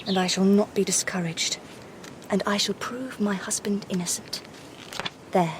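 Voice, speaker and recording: A woman's voice: medium pitch, steady tone, measured pace, background noise, lightly acted. A woman playing an earnest, determined young Victorian wife in a radio drama, speaking in an articulate, intense way. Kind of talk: period radio drama acting.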